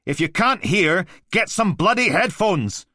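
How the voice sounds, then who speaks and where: A man voicing an overwrought male police sergeant who shouts and rants. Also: high-pitched, sing-song, measured pace, no echo, studio quality, pitched above the performer's own voice, full character voice. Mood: furious. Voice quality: shouting, ranting.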